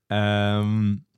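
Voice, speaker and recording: A man's voice: on the low side, mellow, smooth, no echo. A man with a Swedish accent hosting a casual interview, asking easygoing questions padded with fillers. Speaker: podcast host.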